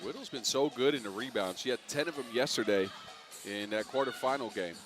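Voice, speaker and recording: A man giving color commentary on a basketball game, relaxed and analytical with some dry humor. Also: medium pitch, fairly quick. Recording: music playing behind the commentary.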